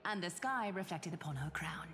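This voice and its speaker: A woman's voice: fairly low pitch, a bit bright, slight background noise. A woman voicing a poised, dry, aloof young noblewoman in a video game, composed and formal. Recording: long reverb on game dialogue, music behind.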